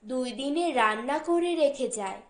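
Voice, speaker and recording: A woman narrates a story in a distinctly high voice. She reads slowly and deliberately, as scripted narration. The room adds a clear echo around her words. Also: expressive. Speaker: audiobook narrator.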